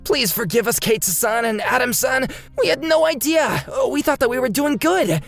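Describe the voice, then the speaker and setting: Casting: actress as hot-headed cartoon student, earnest, creaky voice with very lively swings, music bed. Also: female voice, high voice, moderate pace, full character voice. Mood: pleading. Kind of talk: manga dub voice acting.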